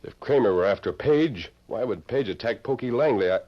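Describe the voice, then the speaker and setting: An actor playing a resolute, commanding masked cowboy hero in an old-time radio western, decisive in manner. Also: male voice, mid-range voice, lively intonation, brisk, close to an everyday voice. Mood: probing. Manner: decisive, questioning. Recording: muffled vintage radio recording.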